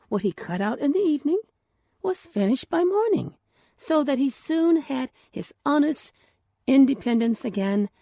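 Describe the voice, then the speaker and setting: Very animated, unhurried woman storyteller. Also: sits high, creaky, no room sound, clean studio sound. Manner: expressive, deliberate, with hushed phrases. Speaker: storyteller and podcast host. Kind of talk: scripted children's story narration.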